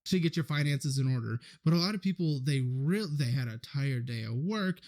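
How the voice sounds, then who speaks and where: A man's voice: medium pitch, lively intonation, moderate pace, darkish tone. A man guesting on a podcast, talking loosely with lots of fillers about his financial show. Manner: loose, filler-heavy talk. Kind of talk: podcast conversation.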